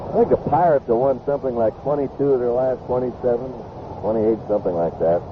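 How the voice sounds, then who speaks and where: A man's voice: mid-range voice, fast. A man with an American accent calls a baseball game live as a radio play-by-play announcer. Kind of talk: live radio sports commentary. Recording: noisy 1970s radio baseball broadcast, phone-like. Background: stadium crowd ambience and noise.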